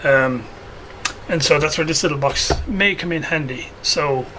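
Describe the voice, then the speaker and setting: A man with a bright, slightly high voice talks casually through a hands-on project as a hobby video host. He is unscripted and a little hesitant, thinking aloud as he goes. Noise is audible behind him. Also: a little slow, rough recording.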